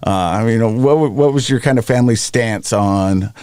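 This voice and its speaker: A man's voice: mid-range voice, moderate pace, a little mellow, clean tone, dry recording. A man hosting a podcast in a radio-style way, upbeat, asking short questions and joking with his guest. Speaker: podcast host. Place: podcast studio. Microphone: studio mic.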